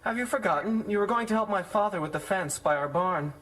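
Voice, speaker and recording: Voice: mid-range voice, animated, a little fast, a bit bright, close to an everyday voice, poor audio. A performer playing a polite, earnest schoolboy in an audio drama, deferential and matter-of-fact. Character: polite, earnest schoolboy helping his teacher.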